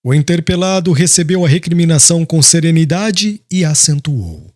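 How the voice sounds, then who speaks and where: A man's voice: a little high, lively intonation, normal speed, a little mellow, no room sound, studio quality. A man narrating an audiobook in a solemn, literary, dramatic style.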